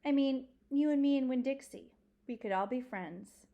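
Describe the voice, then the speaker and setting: A woman's voice: high-pitched, moderate pace, highs cut off, voice pushed up. A woman voicing a curious, friendly young girl in a storybook, speaking in short, eager bursts. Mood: hopeful. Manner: short, eager questions and replies.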